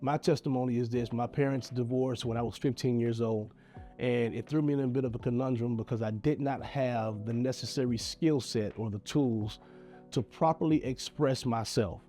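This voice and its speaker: A man's voice: medium pitch, fairly even intonation, normal speed, mellow, soft background music. Nasal, congested man pleading earnestly. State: congested, nasal from sinus infection. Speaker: video creator giving advice. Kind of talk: earnest direct-to-camera message.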